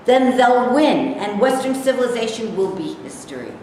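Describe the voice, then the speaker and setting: A woman's voice: on the high side, very animated, moderate pace, mellow. A woman playing a brash, opinionated, combative man in an amateur staged play reading, blunt and needling in manner. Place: echoey room, staged reading. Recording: very echoey room, faint noise.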